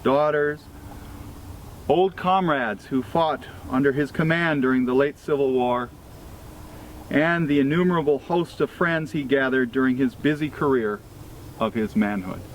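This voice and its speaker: A man with an American accent reading a formal obituary tribute aloud in a deliberate, solemn way, pausing between phrases. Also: medium pitch, low-quality recording. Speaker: freemason reader at a memorial event. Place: outdoors at a cemetery event. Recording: clear ambient outdoor noise with vehicles.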